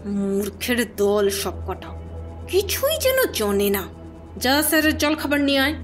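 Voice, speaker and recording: A woman's voice: a little high, sing-song, unhurried, faint music behind. A woman playing a bossy, exasperated matron of a girls' shelter in a theatrical audio horror story. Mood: muttering anger. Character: scolding, scheming older matron of a girls' shelter.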